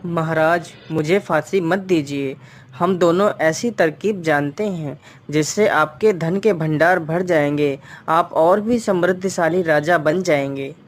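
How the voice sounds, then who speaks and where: कलाकार की आवाज़ में चालाक, शांत चोर. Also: male voice, a little high, a little slow, close to an everyday voice. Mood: persuasive. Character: clever cunning young male thief, calm and persuasive. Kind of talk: animated Hindi moral-story dialogue.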